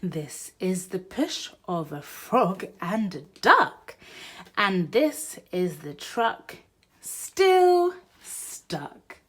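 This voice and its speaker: A woman presents a children's read-aloud in a sing-song, expressive voice. She takes her time over the words, and her pitch rises and dips in a lively way as she speaks to young children. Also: drawn-out words, slightly rough.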